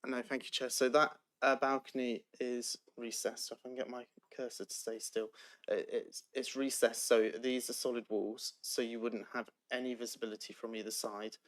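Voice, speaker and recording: A man, a planning officer giving measured, procedural answers at a council meeting with frequent ums. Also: medium pitch, flat delivery, fairly bright tone.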